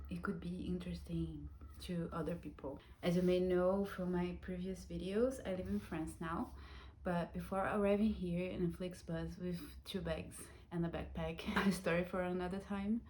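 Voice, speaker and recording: A woman in her thirties from Brazil, telling her own life story in a reflective, soft-spoken vlog, with Portuguese as her first language. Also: on the low side, slight background noise. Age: 30s. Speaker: vlogger.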